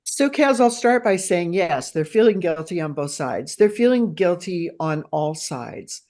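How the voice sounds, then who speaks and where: A middle-aged woman from the United States speaks as an interview guest. She explains her research in an earnest, measured way with academic phrasing. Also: lowish voice, lively intonation, darkish tone. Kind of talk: conversational interview answer.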